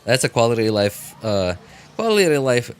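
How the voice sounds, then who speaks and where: A man giving casual live game commentary as a streamer, rambling and halting, often breaking off mid-thought to react. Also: mid-range voice, very animated, clear, resonant tone, soft background music.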